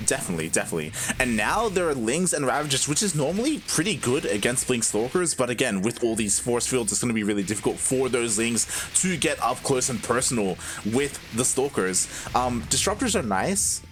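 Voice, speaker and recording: A man's voice: mid-range voice, big pitch swings, a little fast, hardly any pauses. A man giving live game commentary in a chatty way, with lots of fillers and chuckles. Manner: conversational, filler-heavy, chuckling. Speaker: esports caster and producer. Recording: commentary over game music.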